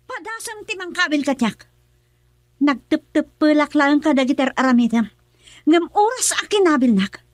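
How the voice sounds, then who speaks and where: A woman's voice: sits high, animated, normal speed, no echo. An actress playing a young wife who suspects her husband in a radio drama, emotional and accusing, with an Ilocano accent. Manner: pleading then accusing, emotional.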